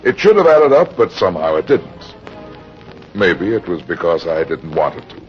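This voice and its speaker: Casting: weary private eye, 1940s radio drama; low, deliberate delivery, faint music behind. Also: male voice, sing-song. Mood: brooding. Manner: terse, grave, deliberate. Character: weary, hard-boiled private detective narrating his case. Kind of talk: 1940s radio detective acting. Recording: dull old radio recording with music.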